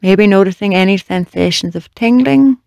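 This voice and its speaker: A meditation guide leading a body scan in a slow, measured, gently instructing way with long pauses. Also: medium pitch, gravelly, dry recording, studio quality.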